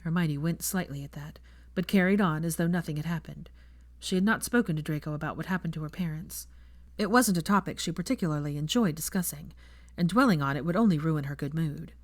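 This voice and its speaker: Woman, low pitch, scripted fiction narration, dry recording without room sound. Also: brisk, dry recording.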